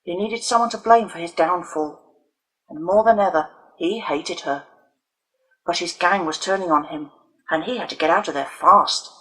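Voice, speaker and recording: A woman narrates an audio novel for older children. Her voice is sharp and forceful with a husky grain, and she tells the story deliberately and dramatically. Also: on the low side, poor audio. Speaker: author reading own novel.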